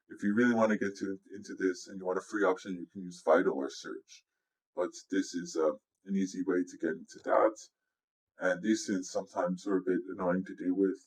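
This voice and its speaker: Man, low voice, music producer, casual tutorial narration, informal explanatory delivery. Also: a little mellow, rough recording. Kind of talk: casual software walkthrough. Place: indoors, voice-over on a screen recording.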